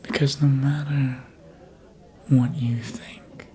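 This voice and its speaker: A performer voicing a sly, seductive, manipulative vampire in a breathy ASMR whisper, coaxing slowly and deliberately as if hypnotizing. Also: mid-range voice, level tone, dark tone, lightly acted. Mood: hypnotic coaxing.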